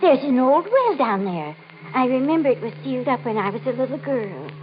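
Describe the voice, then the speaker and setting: A woman's voice: sits high, big pitch swings, a little fast. A woman playing an anxious, superstitious older woman in an old-time radio horror play, with hesitant, fearful, dramatic delivery. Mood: alarmed. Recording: muffled, noisy vintage radio recording.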